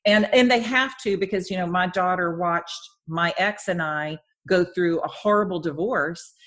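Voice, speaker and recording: A woman's voice: lowish voice, normal speed, a bit bright. A woman in her fifties hosting a podcast interview, chatting in a rambling, friendly way with frequent restarts and easy laughter. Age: 50s. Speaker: podcast host. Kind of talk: casual interview chat.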